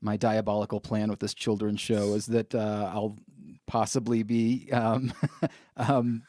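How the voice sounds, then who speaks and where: A man's voice: fairly low pitch, little pitch movement, measured pace, a little mellow, no echo. Casual male podcast host, very dry sound. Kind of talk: casual chat.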